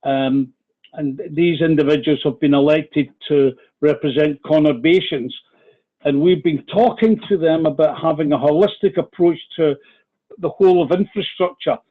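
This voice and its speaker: A man with a Scottish accent, a union official giving a measured briefing on a conference call. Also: a little high. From Scotland. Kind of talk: call presentation. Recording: tinny phone line.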